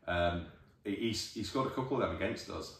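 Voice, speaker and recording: A man in his forties with a Northern English accent, a football manager giving a measured, thoughtful post-match interview, recorded by a distant camera in an empty changing room. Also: deep voice. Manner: measured, thoughtful, many restarts. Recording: echoey room, voice from far off.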